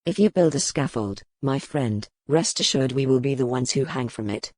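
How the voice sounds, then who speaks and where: A man playing a grim, weary, commanding medieval duke in an audiobook, speaking in formal, measured phrases. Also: mid-range voice, expressive, darkish tone, dry recording, clean studio sound, close to an everyday voice. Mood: grim, resolute. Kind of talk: dramatized audiobook dialogue.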